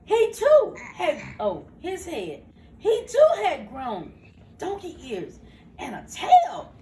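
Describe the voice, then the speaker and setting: An older woman reads a children's story in a high, very animated voice. She is expressive and sometimes corrects herself. The recording is roomy and distant, with clear background noise. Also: slow pace. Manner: expressive, with stumbles and self-corrections. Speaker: grandmother reading to her grandchildren. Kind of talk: animated bedtime storybook reading. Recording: roomy, noisy home recording, far from mic.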